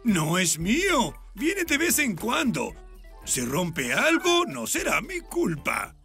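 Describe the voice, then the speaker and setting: A man voicing an excitable, grumpy and suspicious neighbour in a children's cartoon dub, fussy and exaggerated. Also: sits high, slow pace, gravelly, soft background music, exaggerated voice. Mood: defensive.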